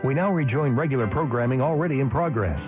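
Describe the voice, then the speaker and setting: A man's voice: medium pitch, animated, fast talker. A man working as a station announcer, reading a scripted radio sign-off. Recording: tinny narrow band, music underneath. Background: music.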